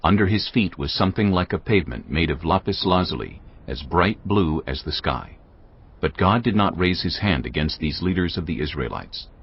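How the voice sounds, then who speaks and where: Deep, lively male scripture narrator. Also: moderate pace, slightly rough. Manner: expressive storytelling delivery. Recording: dull lo-fi audio, faint music.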